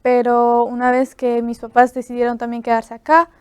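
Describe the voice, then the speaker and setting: A nineteen-year-old woman with an Ecuadorian accent, a guest telling her story softly with many fillers. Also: on the high side, completely flat delivery, normal speed, smooth, no echo. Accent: Ecuadorian Spanish. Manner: soft-spoken, many "am" fillers. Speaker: student guest. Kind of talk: interview.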